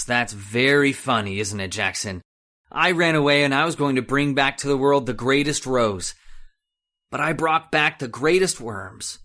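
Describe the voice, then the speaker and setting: An actor playing a terrified, guilt-ridden man losing his sanity in an old-time radio horror monologue, halting and repetitive in melodramatic delivery. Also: fairly high pitch, a bit bright.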